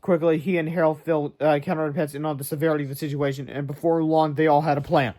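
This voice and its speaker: A man's voice: a little high, little pitch movement, quick pace, a little mellow. A man reading a children's chapter book aloud as storybook narrator, with asides, stumbling over words now and then. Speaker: storybook reader. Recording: very noisy amateur recording.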